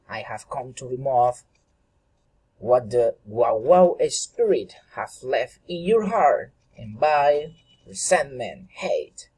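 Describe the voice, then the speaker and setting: A radiant old god from a storybook, acted out by a man. He speaks with slow, solemn care and halting phrases. The voice is husky and kind. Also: medium pitch, dark tone, full character voice, poor audio. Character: gentle radiant old male god of love.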